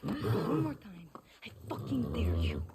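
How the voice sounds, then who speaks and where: An actress playing a fiery, profane young rebel woman who shouts with attitude. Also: lowish voice, wildly swooping pitch, a little slow, heavy background noise, heavily acted. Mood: brash.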